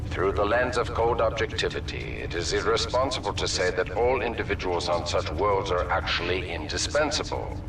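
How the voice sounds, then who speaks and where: An actor playing a cold, ruthless military leader in a video game, delivering a measured, pompous speech with emphatic pauses. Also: male voice, low voice. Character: cold, ruthless male military-corporate leader, grandiose villain. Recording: echoey hall, distant mic, music behind.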